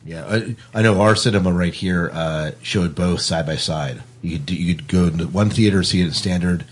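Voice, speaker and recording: A man's voice: deep voice, normal speed, slight background noise. A man hosting a casual film discussion, putting questions and giving his own opinions. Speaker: podcast host.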